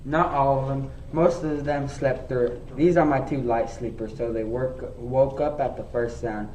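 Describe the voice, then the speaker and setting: Male actor as earnest professor dad telling a tale: mellow, mid-pitched, halting recitation, roomy recording, faint noise. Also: steady tone, lightly acted. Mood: genial, recounting. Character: earnest professor, family father, recounting a marvel. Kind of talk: radio play storytelling in verse. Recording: echoey room, faint noise.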